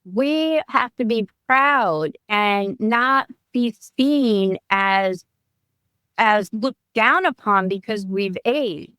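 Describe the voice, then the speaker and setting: A woman's voice: fairly high pitch, sharp tone, clean tone, no echo. A woman in her fifties, a wellness coach talking as a guest in a podcast interview, chatty and often restarting her sentences. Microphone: remote call.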